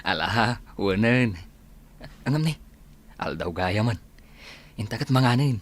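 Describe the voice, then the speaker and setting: An actor plays an easygoing, blunt village man in an Ilocano radio drama. His voice is fairly low and chatty, with an Ilocano accent. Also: lively intonation, takes it slowly. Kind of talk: Filipino radio drama acting.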